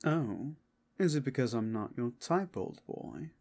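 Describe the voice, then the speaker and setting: A man's voice: medium pitch, lively intonation, measured pace, creaky, darkish tone. Man playing a suave, lilting, teasing ageless vampire. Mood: teasing, amused. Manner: theatrical, old-fashioned, amused, playful. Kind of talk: fantasy audio roleplay acting.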